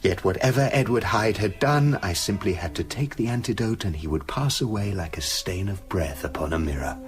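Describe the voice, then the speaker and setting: An actor playing a tormented British gentleman scientist confessing his dark side in a brooding, literary radio drama narration. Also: lowish voice, lively intonation, normal speed, over music, close to an everyday voice. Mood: uneasy wonder.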